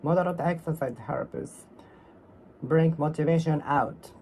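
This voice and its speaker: A speaker from Japan with a Japanese accent, practicing English alone, repeating and reworking each sentence in a careful, halting way. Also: mid-range voice, darkish tone, a little hiss or hum. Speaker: English learner. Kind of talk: solo speaking practice, talking to oneself.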